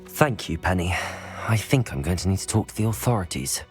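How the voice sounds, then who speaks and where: Earnest, expressive young student hero. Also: sits low, a little fast, soft background music. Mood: concerned. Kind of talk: video game character acting.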